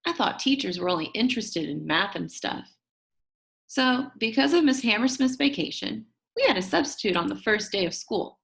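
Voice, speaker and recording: A woman's voice: mid-range voice, lively intonation, normal speed, creaky. A woman reads a children's story aloud, telling it expressively and acting out the characters' lines. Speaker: audiobook reader.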